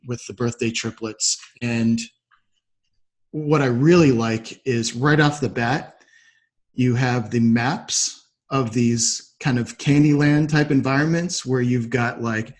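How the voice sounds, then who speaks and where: A man's voice: mid-range voice, fairly even intonation, slow. A man hosting a podcast interview in a conversational, thoughtful and affirming way. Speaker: podcast host.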